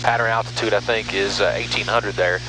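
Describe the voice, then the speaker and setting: American pilot guy over loud engine noise. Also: fairly low pitch, fairly even intonation, fairly quick. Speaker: pilot and vlog host. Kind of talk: casual explanatory vlog talk while flying. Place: cockpit of small propeller airplane. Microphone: aviation headset mic. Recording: loud aircraft engine hum, headset intercom.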